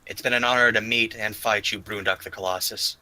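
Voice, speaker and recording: A man's voice: fairly low pitch, fairly even intonation, brisk, pushed and bright, dry recording, poor audio. A man voicing a proud, honor-bound orc warrior in a tabletop game, speaking formally and boastfully.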